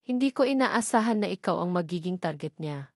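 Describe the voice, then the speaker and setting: A woman voicing a guarded young billionaire man who speaks softly and sincerely in a storybook drama. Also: lowish voice, animated, brisk, dry recording, clean studio sound. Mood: earnest.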